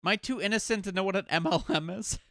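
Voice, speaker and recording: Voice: medium pitch, quick pace, fairly bright tone, no echo, studio quality. A game streamer chatting casually and jokingly with viewers, playful and given to swearing. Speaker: game livestreamer.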